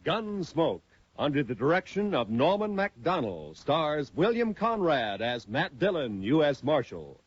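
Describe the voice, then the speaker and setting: A man's voice: a little high, big pitch swings, unhurried. A man reading closing credits in a formal, declaiming 1950s broadcast announcer style. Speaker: radio announcer. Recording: lo-fi 1950s radio recording. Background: orchestral music.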